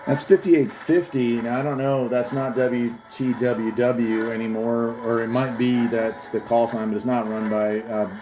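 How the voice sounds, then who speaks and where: A man's voice: medium pitch, little pitch movement, measured pace, hardly any pauses, music in the background, poor audio. A man casually hosting a gadget demonstration on video, rambling along with enthusiasm. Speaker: YouTube host. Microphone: phone camera held by another person. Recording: faint background noise.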